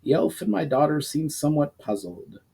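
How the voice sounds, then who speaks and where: A man voicing a stern, seasoned duke in a storybook reading, speaking in a measured, formal, lordly way. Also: fairly high pitch, expressive, vocal fry, warm tone, slightly rough, pitched above the performer's own voice, full character voice. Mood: measured, guarded. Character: stern, weathered duke, seasoned political player.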